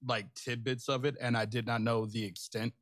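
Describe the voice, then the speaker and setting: A man's voice: medium pitch, little pitch movement, moderate pace, a bit bright, no echo, studio quality. A man hosting an interview, responding attentively and thoughtfully with a few fillers. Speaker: interviewer, host. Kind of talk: casual spoken interview.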